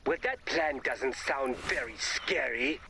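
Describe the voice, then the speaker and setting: An actor playing a morose brain creature in a video game, speaking in a deadpan, dramatic and gloomy way as he hungers for fear. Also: mid-range voice, takes it slowly, creaky, background noise. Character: morose brain-creature man seeking ultimate fear, chunky and emotionless. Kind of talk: video game character voice acting. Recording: loud music under the voice.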